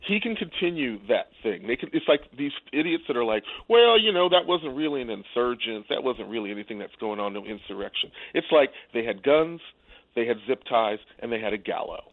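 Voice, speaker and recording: A man's voice: medium pitch, animated, brisk, dry recording. A man giving casual political commentary as a radio guest, talking in a wry, colloquial way. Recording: dry, phone-like narrow sound.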